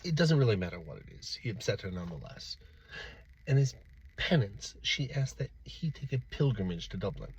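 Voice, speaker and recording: A man telling a folktale aloud in a theatrical way, with dramatic pauses and swells. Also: fairly low pitch, very animated, darkish tone, slightly rough, muffled recording. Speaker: storyteller. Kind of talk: animated oral folktale storytelling.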